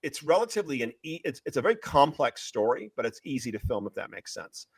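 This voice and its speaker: An excitable man speaks as an author being interviewed, pitching his story with emphatic, looping repetition. His voice sits at a middling pitch, and the sound is dry and close, with no room on it. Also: fast talker, breathy edge, no echo. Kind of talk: animated promotional interview talk, retelling a story.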